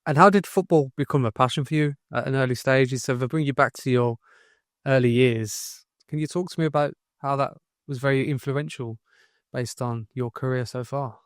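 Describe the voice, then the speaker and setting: A man's voice: mid-range voice, normal speed, no room sound. A male podcast host with an ordinary mid-range voice asks long, winding questions. The recording is dry and close, with no room sound. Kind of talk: interview questions.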